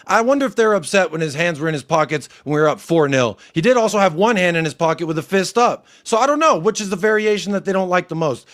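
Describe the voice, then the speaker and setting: Guy ranting sarcastically on a football podcast, a bit high voiced. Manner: sarcastic, mocking. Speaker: fan pundit. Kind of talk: casual podcast chat.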